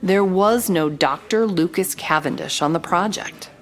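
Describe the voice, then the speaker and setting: An actress playing a confident, sly investigative reporter in a noir-style audio drama, with a wry, knowing and measured delivery. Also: female voice, lowish voice, faint music behind, natural voice. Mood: calm, questioning. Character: confident, sly female investigative reporter narrating her case.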